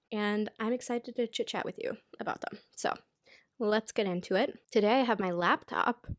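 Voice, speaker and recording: A woman's voice: medium pitch, dry recording, clean studio sound. Congested young woman, chatty and self-mocking. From United States. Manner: chatty, self-deprecating, lots of "like". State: congested, stuffy-nosed. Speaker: knitting YouTuber. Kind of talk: casual chatty pattern roundup.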